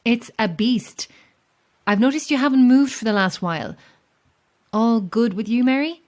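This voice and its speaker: A woman playing a solitary, calm lighthouse keeper with an Irish accent in a horror audio drama, speaking in a measured, understated way. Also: fairly high pitch, natural voice. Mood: friendly, helpful. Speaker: lighthouse keeper and narrator of a tale.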